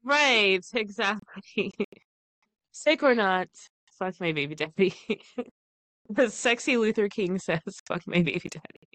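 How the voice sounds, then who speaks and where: A woman's voice: mid-range voice, takes it slowly, fairly bright tone, dry recording. A young woman with a stuffy, congested voice from the flu, co-hosting a casual podcast, laughing often and talking loosely. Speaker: podcast co-host.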